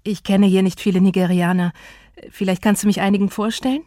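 A woman's voice: medium pitch, fairly even intonation, a little fast, warm tone, no room sound, close to an everyday voice. Friendly young man, confident, easygoing, polite, voiced by a woman, dry close sound. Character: friendly, self-assured young man, a newcomer.

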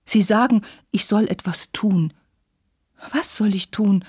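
A woman's voice: mid-range voice, expressive, unhurried, dark tone, no echo, studio quality. A woman voicing a self-pitying, passionate young war widow in a literary story, plaintive and insistent in manner. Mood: plaintive, agitated. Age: young adult.

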